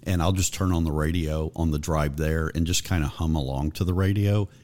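A man's voice: rumbling low, a little fast. A man hosting a music podcast, chatting casually and asking long, rambling questions. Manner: relaxed, conversational, asks long rambling questions. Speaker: podcast host. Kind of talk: casual interview chat.

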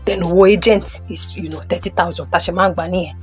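A woman's voice: lowish voice, fairly even intonation, quick pace, faint music behind, lightly acted. A woman plays a Nigerian housing agent, a businesslike man in an audio drama. He is pushy and persuasive, starting sentences with small hesitations. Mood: persuasive, pressing. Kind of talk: audio drama acting, Yoruba-English phone conversation.

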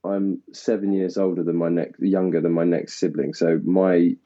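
Mellow low-voiced man from Essex, rambling. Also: level tone, normal speed. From England (Essex). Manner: rambling, self-deprecating, laughs often. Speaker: actor, interview guest. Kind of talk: casual interview conversation. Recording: dull lo-fi sound.

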